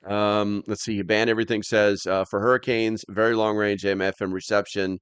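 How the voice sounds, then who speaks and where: A man's voice: on the low side, little pitch movement, brisk, clear, resonant tone, dry recording. A man hosting a live stream, chatting casually as he reads and answers comments in a rambling, plain-spoken, slightly grumbly way.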